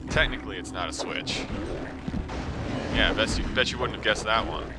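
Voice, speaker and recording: A man's voice: fairly low pitch, normal speed. A congested young man giving casual, joking commentary while he plays a video game, talking to himself as he goes. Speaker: let's play commentator. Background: loud game music.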